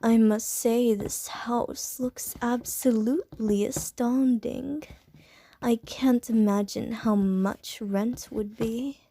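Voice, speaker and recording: Slow dark-voiced lady vampire teasing you, a young woman doing it, soft and whispery. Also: a little high, steady tone, no room sound.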